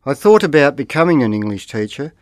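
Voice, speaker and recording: Man reading model answers slowly. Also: medium pitch, big pitch swings, no echo. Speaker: model answer reader in language course. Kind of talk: scripted read-aloud model answers.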